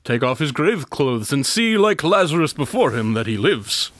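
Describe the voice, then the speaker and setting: A man's voice: a little high, big pitch swings, moderate pace, fairly bright tone. An actor playing a clever, smug male detective in a radio drama, with a dry, formal, deductive manner. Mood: knowing, explanatory.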